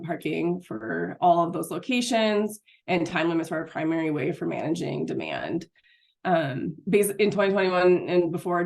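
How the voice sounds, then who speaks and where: A woman with a lowish, raspy voice delivers a webinar presentation while recovering from an illness. She speaks haltingly, full of ums and mid-sentence corrections as she explains her work. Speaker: city parking program manager presenting.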